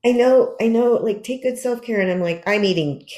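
A woman's voice: on the high side, brisk, a little mellow, reverberant. A woman in her fifties chatting casually, rambling and self-deprecating, acting out her own words when she quotes herself. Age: 50s. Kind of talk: casual chat.

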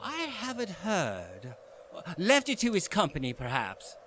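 A gossipy Victorian gentleman of business for a radio play. He is high-voiced, slow and drawn-out, wry and unconcerned, over faint noise. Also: huge pitch swings, full character voice. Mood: wry indifference.

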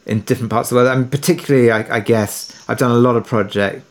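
A man's voice: mid-range voice, steady tone, fast talker. A man giving reflective, somewhat hesitant answers as a guest in a conversational interview. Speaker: interview guest, audio drama producer.

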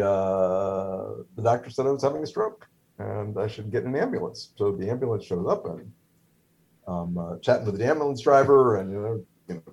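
A man's voice: on the low side, a little mellow, husky. A middle-aged man whose first language is Hebrew, telling a story casually with many fillers, restarts and wry humor. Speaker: guest, stroke survivor.

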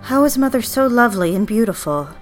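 Actress as young woman, guilt-ridden bride-to-be, brooding, defiant, audio drama, medium pitch, faint music underneath. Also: animated, fast talker, lightly acted. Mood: brooding, troubled. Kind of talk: audio drama, confessional first-person narration and dialogue.

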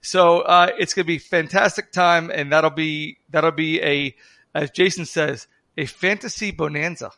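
A man talks eagerly and playfully about going to a game, in a high, bright voice. The sound is dry and close. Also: unhurried, dry recording. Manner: excitable, playful. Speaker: podcast guest. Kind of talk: casual sports chat.

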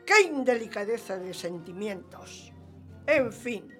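A woman's voice: mid-range voice, expressive, takes it slowly. An actress playing a haughty, indignant middle-aged mother of the bride in a radio play, imperious and emphatic. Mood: scandalized. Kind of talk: radio theatre acting. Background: music.

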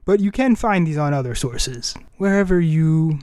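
Higher-voiced man hosting a casual podcast. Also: lively intonation, moderate pace, a little mellow, no echo. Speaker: podcast host. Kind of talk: casual music and anime chat.

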